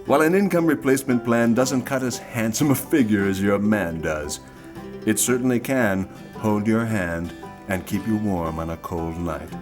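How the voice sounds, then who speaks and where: A man playing a folksy, earnest, ominous insurance pitchman in an old-time radio style ad, deliberate and solemn with dramatic pauses. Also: natural voice. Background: guitar music.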